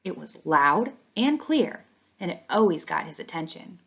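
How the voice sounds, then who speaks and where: A woman reading a children's storybook aloud in an expressive, animated way. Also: fairly low pitch, a little slow, vocal fry, slightly rough. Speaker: read-along reader. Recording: dull, lo-fi sound.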